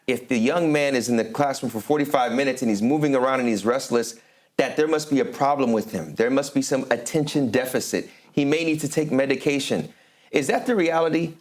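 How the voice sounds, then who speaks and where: A man is hosting an interview show in a mid-range voice. He talks informally and conversationally, mixing in Arabic religious phrases. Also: medium pitch. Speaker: talk show host.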